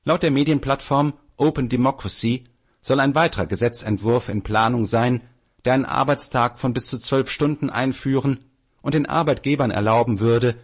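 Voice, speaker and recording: A man reading a political article aloud as scripted narration, in a measured, formal manner. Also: mid-range voice.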